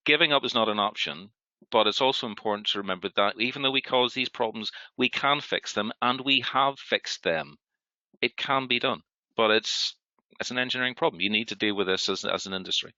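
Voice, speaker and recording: A man's voice: lowish voice, fairly quick, pushed and bright, no echo, lo-fi sound. A man with a Belfast accent, speaking earnestly as a panel guest in a webinar discussion, with restarts and stammers. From Northern Ireland.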